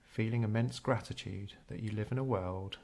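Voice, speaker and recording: Low-pitched man narrating a guided meditation. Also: steady tone, moderate pace, gravelly, a little mellow.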